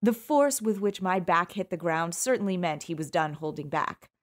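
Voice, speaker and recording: A woman's voice: on the low side, normal speed. Sultry woman narrating an audiobook. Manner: wry, sultry storytelling. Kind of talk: first-person audiobook narration.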